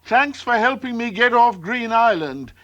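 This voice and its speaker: A man voicing a small, excitable baby bird that panics easily, in a children's storybook reading. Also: extremely high pitch, normal speed, no echo, lo-fi sound, pitched above the performer's own voice. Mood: excited. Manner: shrieking, alarmed cries.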